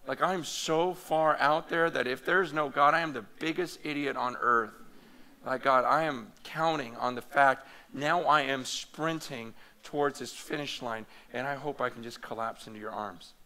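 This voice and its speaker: A man preaching a conference sermon in a loose, animated, conversational way, full of fillers and restarts. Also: medium pitch, normal speed. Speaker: pastor. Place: large hall or meeting room before an audience.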